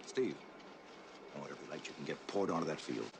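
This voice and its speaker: A man's voice: a bass voice, lively intonation, quick pace, vocal fry, heavy background noise, full character voice. A man playing an intense, hard-boiled flight captain in a spoof disaster film, commanding and mock-serious. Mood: urgent command.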